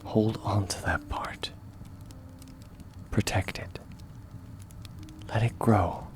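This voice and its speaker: A man voicing a kind, steadfast young warrior in a comfort ASMR roleplay, speaking gently and reassuringly in a measured, often hushed way. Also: on the low side, fairly even intonation, soft background music. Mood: soothing, earnest.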